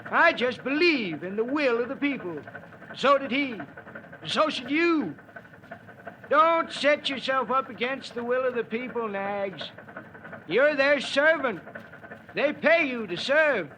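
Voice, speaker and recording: Casting: crotchety folksy radical for 1940s radio play, piercingly high, forceful. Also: male voice, lively intonation, takes it slowly, full character voice. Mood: defiant. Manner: crotchety, combative, colloquial. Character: cantankerous small-town anarchist man, argumentative and folksy. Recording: dull, noisy 1940s radio recording.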